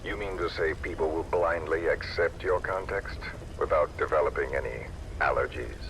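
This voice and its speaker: An actor playing a menacing disfigured villain in a video game, speaking with deliberate, theatrical and coldly eloquent malice. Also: male voice, rumbling low, a little hiss or hum, rough recording. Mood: measured, probing. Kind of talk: dramatic video game voice acting.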